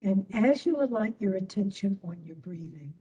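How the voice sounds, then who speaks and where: A woman instructor leading a guided meditation in a soothing manner, with long pauses between short phrases. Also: mid-range voice, animated, mellow, distant mic, muffled recording.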